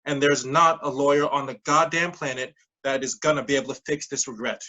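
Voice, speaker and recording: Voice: medium pitch, fairly even intonation, a little fast, pushed and bright, highs cut off, close to an everyday voice. An actor playing a stubborn, patronizing leader of a money game in a staged play reading. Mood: defensive, irritated. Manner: patronizing, defensive. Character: condescending, stubborn game leader refusing refunds.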